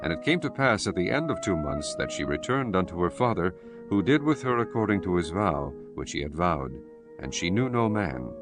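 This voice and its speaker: A man narrating a dramatized scripture audiobook in a solemn, measured reading style. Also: low-pitched, big pitch swings. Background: music.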